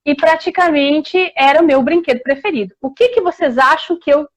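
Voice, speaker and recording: Woman, middle-aged, southern Brazilian accent, slightly high voice, chatty, many restarts, video call sound. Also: fairly quick. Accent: southern Brazilian Portuguese, Rio Grande do Sul. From Rio Grande do Sul, Brazil. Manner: warm, chatty, with many restarts and tag questions. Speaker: historian and author guest. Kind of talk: casual interview storytelling.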